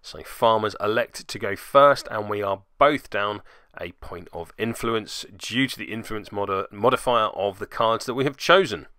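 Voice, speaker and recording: A man's voice: on the low side, normal speed, pushed and bright, smooth, dry recording, studio quality. A man giving wry voice-over play-by-play commentary on a tabletop miniatures game, with self-deprecating asides.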